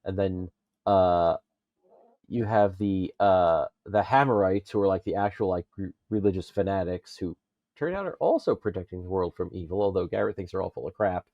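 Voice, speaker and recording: A man on a casual pop culture podcast, talking in a halting way as he thinks aloud. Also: low voice, no room sound, highs cut off. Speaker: podcast panelist.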